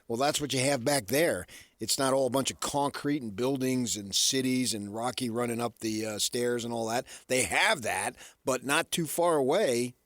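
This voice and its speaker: A man's voice: medium pitch, moderate pace, a bit bright, no room sound. A man talks as a sports radio co-host. His delivery is casual and conversational, like easy on-air chat between segments.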